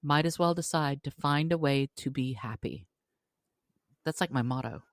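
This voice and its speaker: A woman's voice: a bass voice, moderate pace, no echo, studio quality. A woman co-hosting a podcast, talking casually about books. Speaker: podcast co-host. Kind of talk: casual book discussion.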